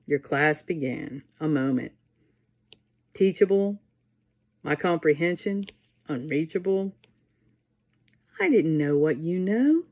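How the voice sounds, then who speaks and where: Middle-aged woman at a poetry reading, takes it slow, voice a bit low. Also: animated, a little mellow. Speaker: poet. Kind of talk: poetry reading with informal spoken introduction.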